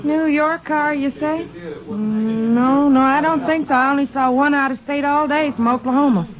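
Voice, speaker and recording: High-pitched, friendly cafe lady played by a woman. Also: moderate pace, a crowd in the background, natural voice. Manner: folksy, hospitable. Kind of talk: 1950 radio western acting. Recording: muffled old 1950 radio recording.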